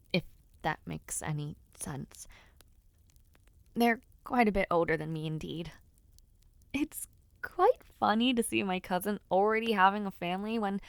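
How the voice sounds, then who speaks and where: A woman plays a young noblewoman in an intimate audio roleplay, speaking to the listener in genteel words with a teasing edge. Her pace is slow and expressive, her pitch is medium for a woman, and the sound is dry and close. She comes across as spirited and playfully impatient. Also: clear, resonant tone, no echo. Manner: expressive, sighing, genteel wording with teasing asides. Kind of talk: audio roleplay acting, addressing the listener directly.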